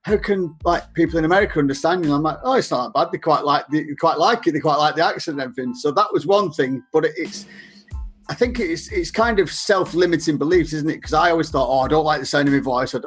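A man's voice: fairly high pitch, husky. A man with a Northern English accent chatting casually as a podcast guest, often tagging questions onto his remarks. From Northern England. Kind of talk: casual conversational interview.

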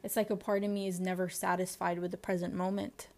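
A young woman in her twenties with a Lebanese accent, hosting a personal diary-style podcast and talking candidly and introspectively, often pausing to search for words. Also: lowish voice, flat delivery, darkish tone. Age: 20s. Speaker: podcast host.

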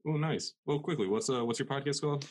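A man's voice: medium pitch, fast, darkish tone. Easygoing male podcast host. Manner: easygoing, laughs often. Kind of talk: casual interview questions.

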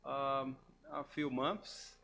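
A man from Brazil with a Brazilian Portuguese accent, a guest pastor preaching in halting English, searching for words. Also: medium pitch, flat delivery, creaky, pushed and bright, slight background noise. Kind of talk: sermon.

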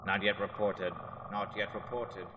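A man playing a diarist who reads dated journal entries and reports in a measured, matter-of-fact way in a radio drama. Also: lowish voice, little pitch movement, background noise, close to an everyday voice. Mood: grim. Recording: long reverberant room.

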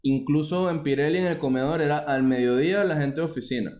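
A man's voice: fairly high pitch, fast, a little mellow. Jokey Venezuelan man, muffled audio. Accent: Venezuelan Spanish. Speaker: podcast co-host. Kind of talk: casual chat.

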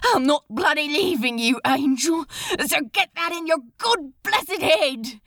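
A woman's voice: high voice, expressive, a little slow, a bit bright, no echo, studio quality, exaggerated voice. A woman voicing a lovestruck, stubborn male demon in a story reading, with desperate, emphatic delivery. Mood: fierce devotion.

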